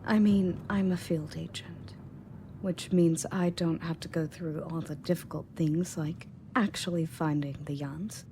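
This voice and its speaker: A woman playing a confident, matter-of-fact rescue agent in an audio roleplay, speaking conversationally to the listener. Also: on the low side. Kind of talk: scripted audio roleplay, in-character monologue to listener. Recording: clearly audible background noise and ambience.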